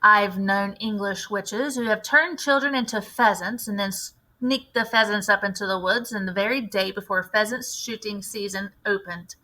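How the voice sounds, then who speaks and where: A woman voicing a firm, grimly knowing elderly grandmother in a storybook, with measured, grave delivery. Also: medium pitch, bright, forceful tone, natural voice, low-quality recording. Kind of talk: storybook read-aloud character voice.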